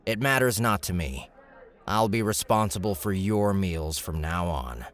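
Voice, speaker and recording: A man's voice: deep voice, lively intonation, a little slow. A man voicing a shrewd, smug young noble in an audiobook, speaking in a glib, formal, commanding way. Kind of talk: audiobook character dialogue.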